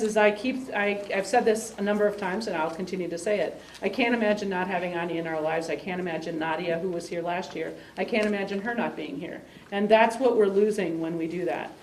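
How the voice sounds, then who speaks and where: Woman presenter at a community meeting, rambling, heard from a distant mic in a roomy space. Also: mid-range voice. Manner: rambling, halting with fillers and restarts. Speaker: exchange program coordinator. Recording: roomy sound from a distant mic, faint hiss.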